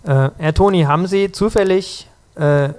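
A man's voice: high voice, moderate pace, a little mellow. A man asking something hesitantly in a spoken recording.

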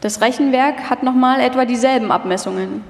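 A woman's voice: high-pitched, brisk, gravelly. A woman reading scripted narration as the narrator of a live documentary radio feature. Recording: echoey hall, live stage performance.

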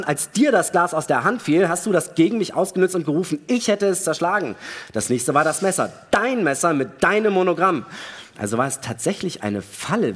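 Male actor as distrustful space crewman, husky voice, deliberate argumentative delivery, radio drama read in a reverberant hall. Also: a little high, fairly bright tone. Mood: accusing, cold. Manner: argumentative, methodical, deliberate reasoning. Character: male astronaut, suspicious, coldly calculating, accusing his crewmate. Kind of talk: live dramatic reading of a sci-fi radio play. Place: large hall, stage reading. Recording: echoey hall, long reverb.

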